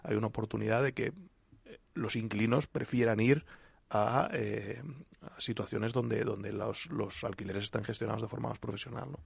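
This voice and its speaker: A man's voice: on the low side, fairly even intonation, normal speed, vocal fry, breathy edge, no echo. A man with an accent from Spain talking spontaneously as a business panelist, often ending phrases with a checking tag. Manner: ends phrases with checking tags. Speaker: property company executive on a panel.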